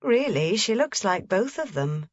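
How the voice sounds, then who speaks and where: A woman's voice: medium pitch, sing-song, unhurried, no echo. Woman reading as a matter-of-fact village woman. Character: plain-spoken grown woman, a neighbor. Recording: slightly dull, dry lo-fi recording.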